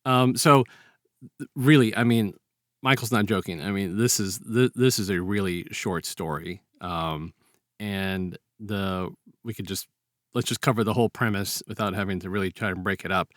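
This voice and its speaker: A man's voice: fairly low pitch, normal speed, a bit bright, no echo. Rambling male co-host, close dry sound. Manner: rambling, colloquial, acts out short quotes. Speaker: podcast co-host. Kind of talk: casual chat, informal storytelling.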